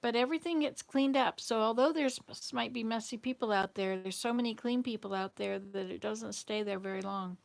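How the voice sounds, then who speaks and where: A woman's voice: a little high, a little fast, vocal fry, few pauses. A woman with a Southern US accent chatting casually and answering viewer comments in a rambling way. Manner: rambling, jumps between thoughts. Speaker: livestream host.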